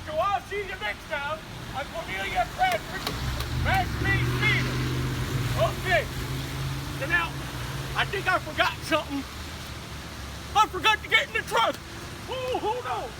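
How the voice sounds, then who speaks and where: A man playing a folksy, goofy country trucker with a husky voice, performing a comic read-aloud for children. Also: very high-pitched, big pitch swings, a little slow, long pauses, very noisy, exaggerated voice. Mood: cheerful, scattered. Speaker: storybook read-aloud host.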